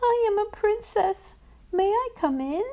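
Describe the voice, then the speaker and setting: A woman's voice: very high voice, expressive, measured pace, mellow, pitched above the performer's own voice, full character voice. A woman voicing a delicate young fairy-tale princess, polite and plaintive.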